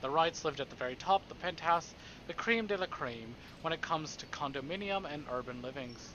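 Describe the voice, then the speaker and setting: A man's voice: on the high side, animated, a little fast, dull audio, noisy background. A man streaming a visual novel, reading it aloud theatrically with playful, casual asides.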